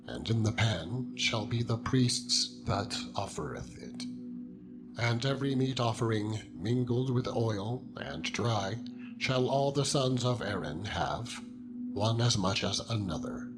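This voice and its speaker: A man narrates the Bible in a solemn, dramatized style, measured and declamatory, delivering it phrase by phrase. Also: medium pitch, poor audio. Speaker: scripture narrator. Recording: prominent music under the voice. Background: loud instrumental music.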